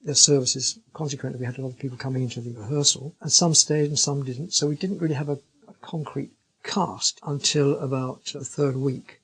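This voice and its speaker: Husky, gravelly man. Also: mid-range voice, steady tone, fairly quick, fairly bright tone, poor audio. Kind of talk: interview testimonial.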